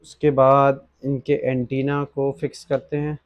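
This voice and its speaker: A man's voice: medium pitch, monotone, a little slow, highs cut off. A young man with a Pakistani accent presenting a product unboxing in an explanatory way, mixing in English words. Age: young adult. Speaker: presenter for an online gadget shop. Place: small indoor gadget shop studio at a desk.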